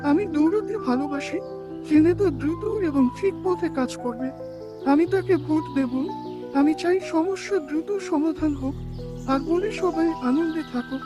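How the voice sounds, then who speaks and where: Cartoon runner animal, female actor: small, eager, high-pitched, music underneath. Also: measured pace. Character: eager, responsible little running animal. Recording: music behind the voice.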